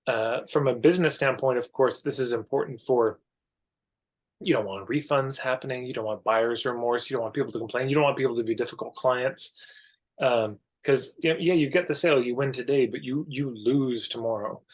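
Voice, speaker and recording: A man's voice: mid-range voice, brisk. Canadian man explaining ideas conversationally. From Alberta, Canada. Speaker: podcast guest, marketing coach.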